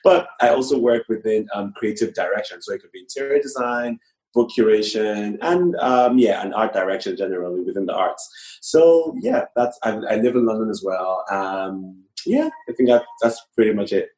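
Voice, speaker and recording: A man's voice: lowish voice, moderate pace, a little mellow, echoey room, poor audio. A man from Nigeria working as a stylist, talking hesitantly with many fillers as a podcast guest. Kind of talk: casual podcast chat.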